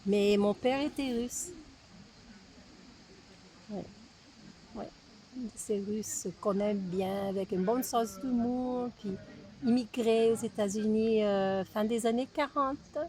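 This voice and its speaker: American woman talking slow and halting, lots of ums, voice sits a bit high. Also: dark tone, low-quality recording. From United States. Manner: hesitant, halting with many fillers. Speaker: interview guest. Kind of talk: casual interview.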